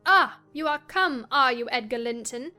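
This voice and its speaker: Woman performing a bitter feverish young wife, high voice, dramatic, commanding, scornful, classic audiobook drama, faint music. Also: lively intonation, moderate pace, pushed and bright, heavily acted. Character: passionate, delirious, headstrong young wife, bitter and imperious. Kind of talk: dramatized classic novel reading. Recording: music behind the voice.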